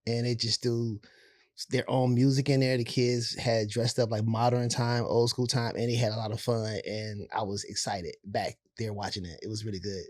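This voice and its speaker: A man with a hoarse, raspy voice from overuse, co-hosting a casual podcast chat in a laid-back, drawn-out way with lots of affirmations. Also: mid-range voice, little pitch movement, a little mellow, no room sound. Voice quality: husky from overuse. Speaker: co-host, theater director for schools.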